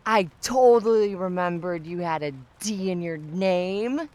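A woman's voice: fairly low pitch, a little slow, a little mellow, close to an everyday voice. A woman playing a tipsy, nosy and pushy young neighbour in a comic audio drama, chatty, teasing and insistent. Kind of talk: audio drama comedy acting.

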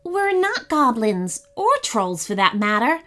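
Young storybook prince, played by a woman, courteous, brave and earnest, high and lilting. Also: very animated, unhurried, a bit bright. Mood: calm, reassuring. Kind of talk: children's storybook character voice.

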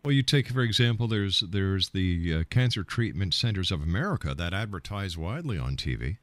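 A man's voice: sits low, very animated, brisk, dry recording. A man hosting a radio talk show and putting questions to a guest. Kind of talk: radio interview.

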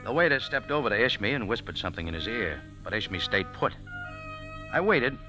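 A wisecracking American cafe owner in an old radio play. He narrates in a wry, hardboiled voice that swings with lively pitch. Music plays clearly beneath the broadcast. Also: fairly quick, low-quality recording. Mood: narrating. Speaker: narrator and lead. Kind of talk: 1940s radio acting.

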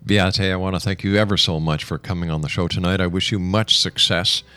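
A man's voice: low voice, fairly even intonation, a little fast, bright, forceful tone, clear, resonant tone, no room sound. A man hosting a radio interview in a conversational way. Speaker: radio talk show host.

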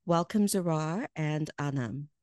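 Woman, interviewer, smooth resonant voice, slightly low pitch, measured. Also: a little mellow, dry recording, studio quality. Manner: measured, formal questions. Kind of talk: broadcast interview hosting.

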